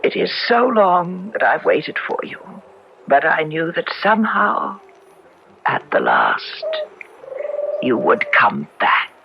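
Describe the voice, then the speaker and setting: An actress playing an ancient, timeless ghostly woman in a radio drama, creaky, yearning and seductive, with drawn-out intimate words. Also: on the low side, huge pitch swings, a little hiss or hum, exaggerated voice. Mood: yearning, eerie. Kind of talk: 1970s radio drama acting. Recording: dull old radio broadcast recording.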